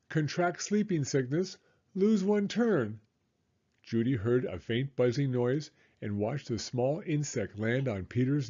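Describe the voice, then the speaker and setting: A man narrates a children's story with lively, swooping intonation and dramatic expression. His voice is mellow and a little husky, and the recording is slightly dull. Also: medium pitch.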